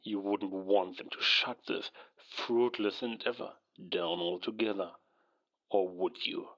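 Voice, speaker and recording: An actor playing a stern, skeptical West German intelligence agent, formal and condescending, over a telephone in an audio drama. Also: male voice, low voice, a little slow, fairly bright tone. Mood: scornful, pressing. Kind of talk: cinematic audio roleplay acting. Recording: dull, band-limited telephone call.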